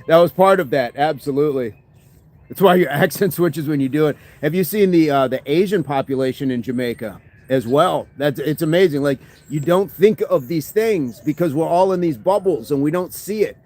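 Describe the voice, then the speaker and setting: Guy hosting a fitness livestream, kinda high voice, chatty, laughs a lot, reads out comments. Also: animated, normal speed, darkish tone. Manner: chatty, laughs often, thanks viewers by name. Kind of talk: casual livestream chat reading comments.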